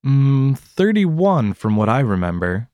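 A man with an American accent hosting a scripted language lesson podcast in an upbeat, expressive way. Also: mid-range voice, takes it slowly, smooth, dry recording, dull audio. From United States. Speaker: podcast host.

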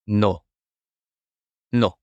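A woman modeling words and short phrases for learners, speaking slowly and deliberately and repeating each word. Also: a little mellow, no echo, muffled recording. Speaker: native speaker model in a language course. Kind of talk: slow, careful model pronunciation.

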